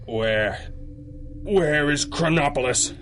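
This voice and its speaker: Forceful, wry masked hero. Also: male voice, a little high, slow pace, a little hiss or hum. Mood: urgent. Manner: sardonic, clipped, heroic. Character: wry, defiant masked male crime-fighter hero. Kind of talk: 1930s pulp radio drama acting.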